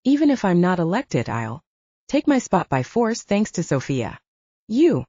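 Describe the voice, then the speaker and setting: Lady as a scheming magic bad guy, haughty and defiant, audiobook, mellow voice, dry recording. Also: on the low side, big pitch swings, unhurried, slightly rough, no room sound, muffled recording, exaggerated voice. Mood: haughty, menacing. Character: proud, scheming male supernatural villain.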